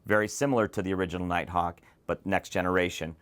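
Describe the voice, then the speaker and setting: Male tech show host, lower-pitched voice, emphatic and enthusiastic scripted presentation, direct to the viewer. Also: fairly even intonation, fast, a bit bright. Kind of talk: scripted product preview to camera.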